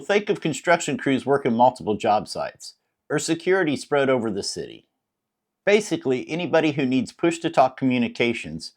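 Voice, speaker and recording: A man with an American accent narrating a scripted product review in a plain-spoken, explanatory way. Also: mid-range voice.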